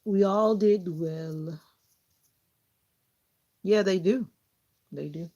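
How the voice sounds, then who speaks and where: A woman's voice: fairly low pitch, extremely slow, warm tone, muffled recording. A woman with a Southern US accent, chatting casually with viewers and laughing often while she trims her hair. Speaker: vlogger. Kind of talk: casual chat with live viewers.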